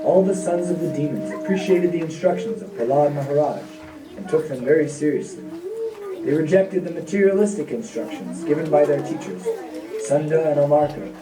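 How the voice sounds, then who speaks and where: A man narrating a scripted puppet show story in solemn, measured phrases. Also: a little high, animated. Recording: echoey, distant, music behind.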